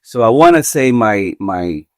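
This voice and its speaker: A man's voice: fairly low pitch, animated, a little mellow, no room sound, studio quality. A man in his forties from Venezuela, a contractor talking as a podcast guest, thinking aloud with many restarts. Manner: halting, thoughtful, many restarts. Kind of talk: casual interview talk. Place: podcast studio.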